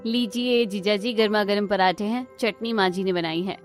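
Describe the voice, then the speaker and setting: A cheerful, obliging young daughter-in-law in a family cartoon, played by a woman. She sounds resourceful, with a mid-pitched voice over faint music. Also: fairly even intonation, normal speed, natural voice. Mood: eager. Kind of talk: cartoon family drama dialogue.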